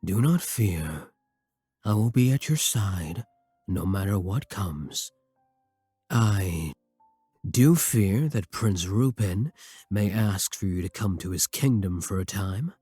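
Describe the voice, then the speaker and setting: A devoted vampire king, acted by a man, low and husky, speaks with formal courtesy and intimate tenderness. His delivery is slow and measured, recorded dry and close for romantic ASMR roleplay. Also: lively intonation, dark tone, no room sound, soft background music, exaggerated voice. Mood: solemn, loving.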